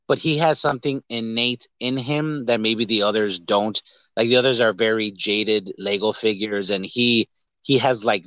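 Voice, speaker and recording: A man's voice: fairly low pitch, bright, forceful tone, no echo, low-quality recording. A man co-hosting a podcast, talking casually about films in a rambling way and acting out quoted lines. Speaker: podcast co-host. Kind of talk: casual movie discussion.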